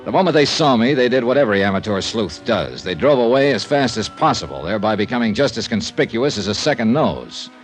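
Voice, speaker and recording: A man's voice: on the low side, sing-song, fairly quick, few pauses, soft background music. An actor playing a cocky, wisecracking insurance investigator in a 1950s American radio drama, narrating his case and trading dialogue. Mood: wry narration. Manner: wry, wisecracking. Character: wry, cocky freelance insurance investigator, adult man. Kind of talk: 1950s American radio detective acting, first-person narration.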